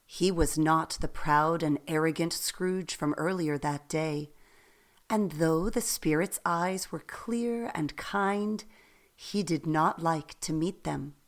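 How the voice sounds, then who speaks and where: A woman narrating a radio play in an expressive, deliberate storytelling manner, with faint noise in the background. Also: low voice.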